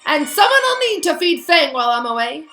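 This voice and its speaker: A woman voicing a huge, rough-spoken half-giant gamekeeper who shouts and pleads in high, loud bursts. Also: sing-song, fairly quick, exaggerated voice. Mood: earnest. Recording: echoey room.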